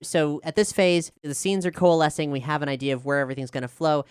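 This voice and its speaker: Showrunner on a casual Q&A panel: crisp, close dry sound, talks through process conversationally. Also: medium pitch, quick pace, dry recording. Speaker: showrunner and writer of an audio drama.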